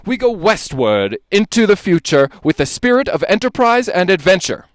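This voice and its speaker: A man performing a dramatic reading of an old lecture, with deliberate, emphatic, oratorical phrasing. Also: high-pitched, big pitch swings, no echo. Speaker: dramatic reader.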